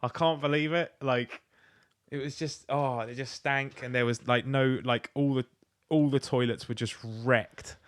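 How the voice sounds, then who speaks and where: Southern english guy chatting on a comedy podcast, normal pitch, swears a lot telling stories. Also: steady tone, a little slow. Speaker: podcast co-host. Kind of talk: casual comic chat.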